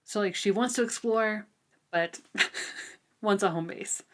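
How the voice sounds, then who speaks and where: A woman co-hosting a casual book discussion, talking in an informal, thoughtful way with halting phrases. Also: mid-range voice. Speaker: book podcast co-host.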